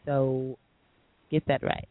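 A woman's voice: a bass voice, little pitch movement, slow pace. Emphatic woman radio host on a phone line. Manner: emphatic and defiant, repeats herself. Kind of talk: late-night call-in radio chat. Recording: tinny phone line with faint hiss.

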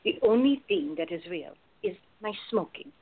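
An actress playing a wry, protective woman in love in a radio play, husky and mock-grumbling. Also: mid-range voice, animated, moderate pace. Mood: dry, teasing. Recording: narrow phone-like sound.

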